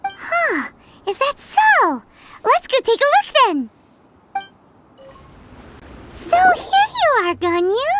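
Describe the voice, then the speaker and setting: A tiny, bubbly fairy girl for a video game. The voice is extremely high and swoops wildly, in slow, childlike, exclamatory phrases. There is clear noise behind it. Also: huge pitch swings, exaggerated voice. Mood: excited. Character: small, excitable, childlike fairy companion girl, chirpy and blunt. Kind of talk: video game cutscene acting.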